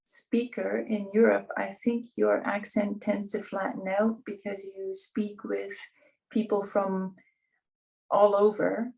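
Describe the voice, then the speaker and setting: A woman's voice: medium pitch, level tone, slow, gravelly, a little mellow. Middle-aged woman, Swiss-tinged Canadian accent, distant. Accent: Canadian English flattened by years in German-speaking Switzerland. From Ontario, Canada. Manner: thoughtful, with frequent ums and restarts. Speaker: guest, scientist. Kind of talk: conversational interview answers.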